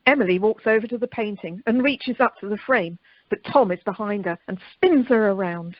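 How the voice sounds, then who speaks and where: A woman's voice: medium pitch, a little fast, dry recording. A woman reading scripted narration and stage directions for an amateur radio play, recorded over the telephone. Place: recorded remotely by telephone during lockdown. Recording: muffled telephone conference line.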